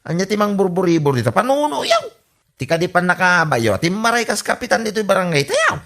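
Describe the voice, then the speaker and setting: A performer playing a cheeky, excitable young relative who taunts an uncle, giggly and exaggerated, in an Ilocano radio comedy drama with an Ilocano Filipino accent. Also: medium pitch, a little fast. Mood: teasing.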